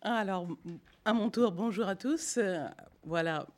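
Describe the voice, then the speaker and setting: A woman's voice: medium pitch, a little slow. A middle-aged woman whose first language is English, talking to an audience about her path as a singer, hesitant with many fillers and restarts. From Canada. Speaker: guest singer and voice teacher. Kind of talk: spontaneous talk to an audience.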